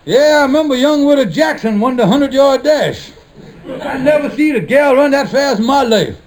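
An actor playing a sheepish, scheming married lodge leader in a 1950s radio sitcom, hesitant and evasive with folksy, ungrammatical speech. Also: male voice, extremely high pitch, expressive, normal speed. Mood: wry, joking. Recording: noisy 1950s radio broadcast recording.

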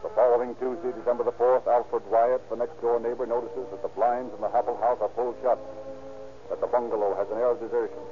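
A man narrating a crime story gravely and deliberately, in the dramatic style of 1930s radio. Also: mid-range voice, flat delivery, natural voice. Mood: brisk, grave. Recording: tinny old radio recording, music behind.

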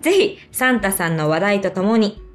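A playful woman co-hosts a relaxed chat show and reads out listener messages. Her mid-range voice swings freely and breaks into giggles. The sound is roomy, with light music underneath. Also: mid-range voice, normal speed. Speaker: radio show co-host, stage actor. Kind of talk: casual co-hosting chat, reading listener mail.

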